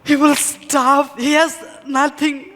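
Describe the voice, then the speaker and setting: A man's voice: very high voice, little pitch movement, slow, a bit bright, full character voice. A man playing a devoted young servant who pleads anxiously and keeps saying "Señor". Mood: pleading. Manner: pleading, repeats "Señor" and "Signorina". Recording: long reverb with music behind.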